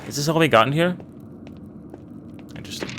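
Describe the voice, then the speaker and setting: A man's voice: a little high, lively intonation, brisk. A man casually commenting while he plays a narrative game, curious and thinking aloud. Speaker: let's play narrator. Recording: clear background noise.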